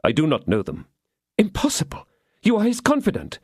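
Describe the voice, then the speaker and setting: A man's voice: on the high side, sing-song, moderate pace, darkish tone, no room sound, clean studio sound, voice pushed up. A man voicing a scheming woman prisoner who pleads and prays in feigned, sobbing despair. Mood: pleading.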